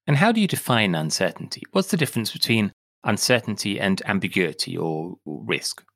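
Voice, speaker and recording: Man, podcast host, low voice, animated pitch, measured scripted delivery. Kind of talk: scripted podcast introduction and interview.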